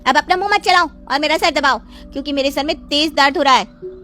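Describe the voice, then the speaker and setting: Woman acting a high-pitched, haughty young wife. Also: brisk, soft background music. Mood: bossy, scornful. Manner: haughty, sharp-tongued, theatrical. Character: vain, spoiled, haughty young second wife.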